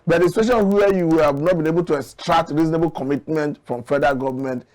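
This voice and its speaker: A man with a Nigerian accent, arguing his points deliberately and emphatically as an interview guest analysing politics. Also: fairly high pitch, a little mellow. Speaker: studio guest, commentator.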